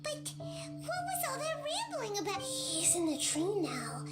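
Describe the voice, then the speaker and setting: A tiny, excitable fairy girl from an anime game, extremely high and lively. Loud music plays behind her. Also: measured pace, heavily acted. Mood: excited, alarmed.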